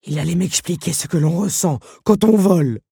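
A woman's voice: fairly low pitch, vocal fry, mellow, slightly rough, no echo, studio quality, full character voice. A woman voicing a fragile, tearful male automaton who stammers and sobs as he speaks, in an audiobook. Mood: upset. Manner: sobbing, halting, stammering. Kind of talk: audiobook character voice.

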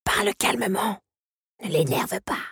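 A woman voicing Lucanos, a male creature companion who gives urgent, hushed orders in an audiobook. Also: medium pitch, unhurried, vocal fry, husky, no echo, studio quality, voice pushed up, full character voice. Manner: urgent, commanding.

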